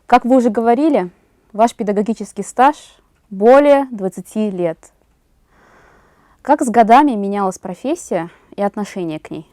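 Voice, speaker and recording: A woman's voice: medium pitch, lively intonation, normal speed, warm tone. A woman working as an interviewer, putting prepared questions to a guest.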